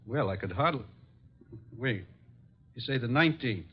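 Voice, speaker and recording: An actor playing a polished, self-assured importer in an old radio drama, courteous and wordy. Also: male voice, medium pitch, lively intonation, normal speed, close to an everyday voice. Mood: courteous, probing. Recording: noisy, dull old radio recording.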